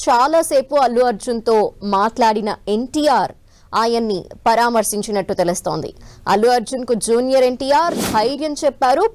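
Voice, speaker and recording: A woman's voice: high voice, darkish tone, no echo. A woman presenting live news as an anchor, putting questions to a reporter.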